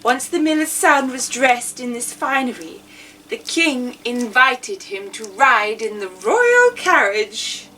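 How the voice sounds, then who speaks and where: A woman narrates a fairy tale in a theatrical storyteller's voice, highly animated and unhurried. Her tone is bright, and she sounds a little away from the microphone. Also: distant mic, a little hiss or hum, poor audio. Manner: theatrical, measured. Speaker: storyteller playing an amusing witch.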